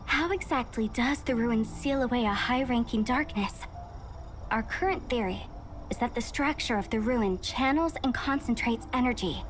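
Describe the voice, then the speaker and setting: A woman's voice: on the high side, close to an everyday voice. A woman playing an earnest, dutiful young researcher in a video game, formal and polite as she explains things. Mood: studious, polite. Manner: formal, expository, apologetic. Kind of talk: scripted video game dialogue. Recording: music behind the voice.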